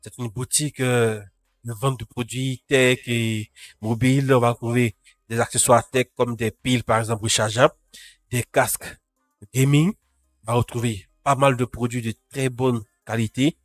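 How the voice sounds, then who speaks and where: A man's voice: medium pitch, level tone, normal speed, dry recording. A man with a cold hosting a casual live tech news roundup, greeting chat viewers by name. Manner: talks to live chat viewers, frequent restarts. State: has a cold.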